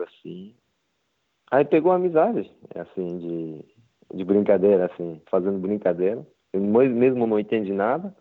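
Interviewed footballer: Japanese-accented man, low voice, halting non-native Portuguese over a thin phone connection. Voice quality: halting, simple Portuguese with many fillers. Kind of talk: casual interview. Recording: tinny phone-line sound.

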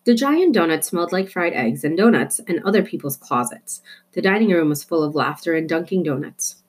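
Woman reading a children's book aloud, lowish and breathy, playful emphasis. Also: fairly quick. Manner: expressive storytelling, playful emphasis. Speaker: reader.

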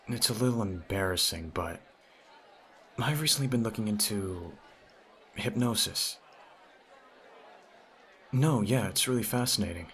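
A man's voice: lowish voice, fairly bright tone, a little hiss or hum, close to an everyday voice. A man playing a teasing, smitten teenage childhood friend in an ASMR audio roleplay, talking straight to the listener in a conversational way. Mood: shy, fascinated.

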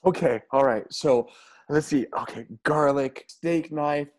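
A man's voice: on the high side, a little slow, a little mellow, natural voice. A man playing a flustered, stammering young man. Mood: fretting. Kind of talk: improvised audio comedy.

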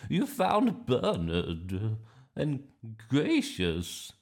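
A man voicing a dotty, hungry, excitable grandmother in a bedtime story, gushing and exclaiming with little hesitations. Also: medium pitch, very slow, vocal fry, voice pushed up, exaggerated voice. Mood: astonished.

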